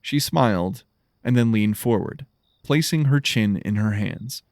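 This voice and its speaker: A man narrates a science fiction audio drama from a script. His voice has a gravelly fry to it, and the sound is dry with almost no room around it. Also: fairly low pitch, animated, unhurried, a little mellow, no room sound. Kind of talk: scripted sci-fi story narration.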